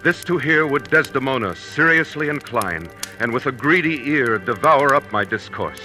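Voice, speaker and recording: An actor playing a proud, noble Moorish general and devoted husband, declaiming in measured old radio Shakespeare style. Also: male voice, mid-range voice, lively intonation, pushed and bright. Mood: stately, tender. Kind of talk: 1940s radio Shakespeare acting. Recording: old radio broadcast with music.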